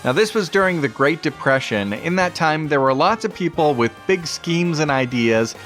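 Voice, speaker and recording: A man's voice: on the high side, expressive, moderate pace, fairly bright tone, soft background music. A man hosting a podcast, giving a scripted introduction to an episode. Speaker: podcast host.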